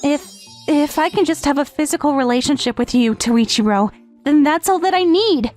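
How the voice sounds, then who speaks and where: A woman's voice: high-pitched, moderate pace, darkish tone, faint music behind, lightly acted. A woman playing a lovestruck, brazenly submissive teenage step-sister in an anime-style romantic comedy dub. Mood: earnest, pleading.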